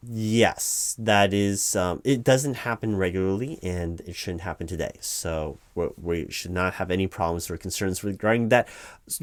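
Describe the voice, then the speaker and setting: A man playing a distracted, evasive corporate hiring manager in a one-sided comedic interview roleplay, stammering and rambling officiously. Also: low voice. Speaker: performer playing a job interviewer.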